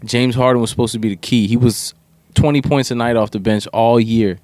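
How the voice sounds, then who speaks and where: A man's voice: medium pitch, steady tone, fairly quick, a little mellow, no echo. A man in a casual sports debate, talking bluntly and with strong opinions, using slang and swearing. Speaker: podcast co-host.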